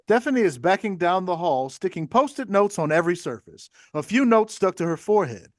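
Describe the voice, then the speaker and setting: High-pitched, animated male stage-directions reader. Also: fairly quick, dry recording, clean studio sound. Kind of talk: scripted narration of stage directions.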